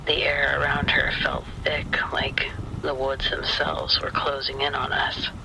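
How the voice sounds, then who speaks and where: Male horror narrator, very gravelly, breathy half-whisper, hushed, deliberate, suspenseful, scripted storytelling. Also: medium pitch, monotone, rough recording. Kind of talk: first-person scripted spooky narration.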